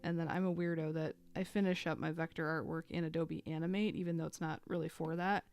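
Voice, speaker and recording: A woman chatting casually while she draws on a live stream, thinking aloud with fillers and little laughs, a bit hoarse as she loses her voice. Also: sits low, level tone, fast, darkish tone, dry recording. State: losing her voice.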